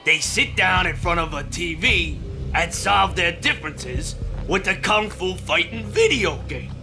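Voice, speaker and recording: A boastful mob hustler. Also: male voice, sits high, lively intonation, a little slow, over music. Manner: boastful, theatrical storytelling. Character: swaggering mob hustler bragging to a woman. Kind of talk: video game cutscene acting.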